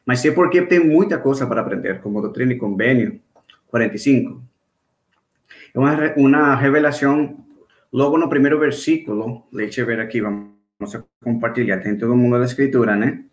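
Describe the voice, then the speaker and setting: Venezuelan man teaching with roomy echo. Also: medium pitch. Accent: Venezuelan Spanish. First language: Spanish. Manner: halting, with frequent restarts and "né?" checks. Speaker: Sunday school teacher. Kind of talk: online church class. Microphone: video call.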